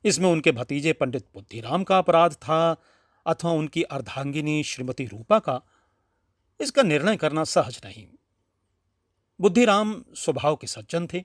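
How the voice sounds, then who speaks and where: A man narrating a classic short story in an expressive, dramatic audiobook style with deliberate phrasing. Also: on the high side, dry recording, clean studio sound.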